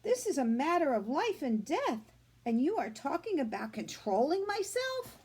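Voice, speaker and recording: High voice, eight-year-old farm girl, played by a woman, storybook reading, upset, indignant, pleading, emphatic. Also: very animated, moderate pace, darkish tone. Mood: shocked, indignant.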